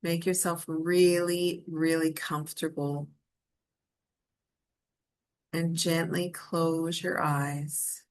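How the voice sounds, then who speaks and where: A woman leading a guided meditation in a soothing, gentle and inviting manner. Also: low-pitched, level tone, very slow, pauses often.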